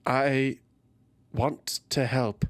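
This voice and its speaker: A narrator voicing a devoted, conflicted male squire in a fantasy audio story, earnest, restrained, hushed and hesitant. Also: mid-range voice, fairly even intonation, drawn-out words, no echo, studio quality.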